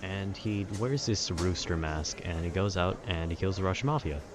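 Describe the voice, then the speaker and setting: A man's voice: low-pitched, normal speed, crowd noise. A man casually rambling about video games in a laid-back, meandering way full of informal slang, like an amateur host talking off the cuff. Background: outdoor city ambience.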